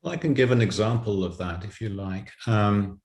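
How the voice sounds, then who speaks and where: A man's voice: lowish voice, moderate pace, a little mellow. A man speaking as an interview guest, telling illustrative anecdotes about his creative work. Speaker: writer and director, interview guest. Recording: echoey room.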